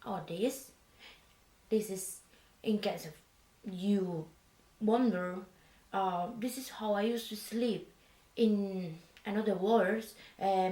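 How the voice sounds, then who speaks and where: A woman voicing a female resistance leader in pajamas, speaking softly and haltingly, with many hesitant fillers, in an ASMR roleplay. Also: medium pitch, roomy sound, recorded from a distance, close to an everyday voice, low-quality recording. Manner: halting, slow, with hesitant fillers and a foreign-sounding phrasing. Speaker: leader of a sleeper resistance unit. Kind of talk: soft-spoken ASMR roleplay.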